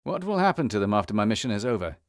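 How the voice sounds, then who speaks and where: Earnest, animated fantasy hero. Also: male voice, fairly low pitch, very fast, no echo, studio quality. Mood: questioning. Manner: direct, questioning. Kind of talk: fantasy game cutscene acting.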